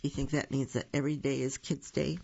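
A storybook reader voicing a gentle, fond bear father. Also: mid-range voice, flat delivery, fairly quick, vocal fry, husky, muffled recording. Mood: amused.

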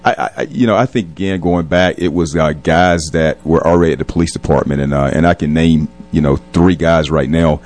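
A man speaking as a guest in a reflective radio interview, pausing often and choosing his words in a measured, hesitant way. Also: a bass voice, fairly even intonation, a little mellow. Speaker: police captain and community leader, interview guest.

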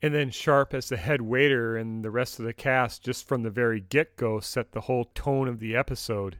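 A man hosting a podcast discussion, talking in a measured way with thoughtful pauses. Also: mid-range voice, steady tone, fairly bright tone, dry recording, clean studio sound. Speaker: podcast host.

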